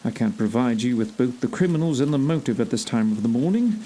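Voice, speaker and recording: A man playing a self-assured gentleman detective in audio theatre, formal, commanding and dryly witty. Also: medium pitch, lively intonation, fast talker, natural voice. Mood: assured deduction. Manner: crisp, commanding, wry, formal.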